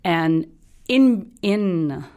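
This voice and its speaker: Low-voiced Italian woman doctor. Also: expressive, a little mellow. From Italy. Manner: thoughtful, halting, many restarts and fillers. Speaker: hematologist guest. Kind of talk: interview, explaining her career.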